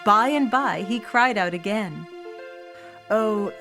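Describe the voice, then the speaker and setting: A woman's voice: medium pitch, lively intonation, a little slow. A woman storyteller reading a scripted fairy tale for children, with music behind her voice.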